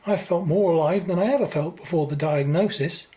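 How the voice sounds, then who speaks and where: A woman's voice: on the low side, a little fast, warm tone, lightly acted. A woman playing an earnest, uneasy young man in a radio play. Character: anxious, earnest young man troubled by dreams.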